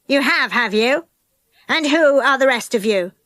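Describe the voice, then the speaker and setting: A woman voicing a stern, strict old woman schoolmistress, speaking slowly and coldly in a clipped way. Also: high voice, animated, fairly bright tone, dry recording.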